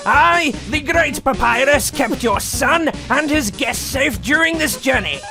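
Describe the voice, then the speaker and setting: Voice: high voice, expressive, unhurried, gravelly, exaggerated voice. Bombastic male skeleton, pompous declaiming, proud, comic dub, prominent music underneath. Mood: boastful, proud. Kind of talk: amateur comic dub voice acting.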